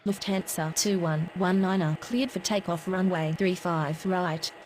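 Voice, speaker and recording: Female airport radio operator for a game, acted by a woman: lowish voice, businesslike, procedural, faint noise behind. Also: unhurried, a little mellow, natural voice, poor audio. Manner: clipped, formal, procedural. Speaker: air traffic radio voice. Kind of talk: scripted aviation radio phraseology.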